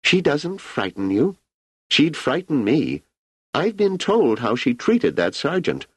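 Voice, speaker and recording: A man's voice: fairly high pitch, big pitch swings, slow pace, breathy edge, no room sound, voice pushed up, full character voice. A man voicing an aging emperor who is idle, ironic and imperious, with a languid, wry delivery. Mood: amused.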